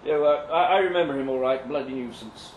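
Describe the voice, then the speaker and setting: A man's voice: medium pitch, quick pace, lightly acted. A man voicing a bitter retired schoolteacher, a sweary curmudgeon ranting indignantly, recorded at a live reading. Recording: distant echoey live venue, background noise.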